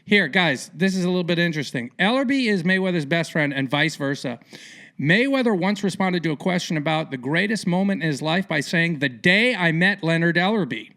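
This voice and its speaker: Male podcast host, higher-pitched, gravelly creak, casual and colloquial, punchy theatrical emphasis. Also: animated, fairly quick, sharp tone. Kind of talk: casual, talky interview.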